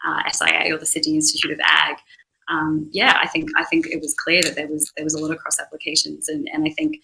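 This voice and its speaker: A woman's voice: sits low, completely flat delivery, fast talker, creaky, bright, forceful tone, a little hiss or hum, poor audio. A woman speaking as a startup co-founder on a panel, explaining her work in long sentences as she thinks aloud.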